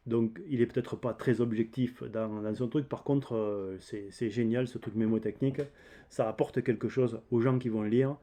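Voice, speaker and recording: A man's voice: mid-range voice, fairly even intonation, normal speed, warm tone. A man with a southern French accent talking casually and unscripted as a DIY channel host, explaining things in a hesitant, rambling way. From south of France. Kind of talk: casual unscripted vlog talk.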